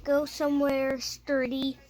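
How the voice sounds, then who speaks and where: An amateur announcer with a high voice play-acting a TV weather emergency broadcast, speaking haltingly with stumbles and self-corrections. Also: completely flat delivery, a little mellow.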